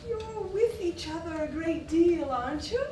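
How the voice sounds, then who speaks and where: An actress as a prying society gossip on stage, high-voiced and highly animated. She oozes false sympathy and coy, theatrical insinuation. The sound is distant, as if from across a theatre. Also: a little slow. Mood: mock sympathy. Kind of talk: stage acting in a period one-act play. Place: theatre stage, recorded from the audience area. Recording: roomy, noisy stage recording from far off.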